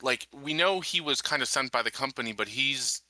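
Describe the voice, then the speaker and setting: Very bright, forceful man talking casually. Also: mid-range voice, moderate pace, dry recording, poor audio. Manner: reasons aloud with many casual qualifiers. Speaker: roleplaying game player. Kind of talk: casual game discussion.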